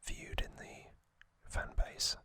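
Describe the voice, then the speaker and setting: A man hosting ASMR, whispering trivia narration in a breathy, unvoiced voice with soothing, deliberate short phrases. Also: sharp tone. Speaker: ASMR host. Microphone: close microphone.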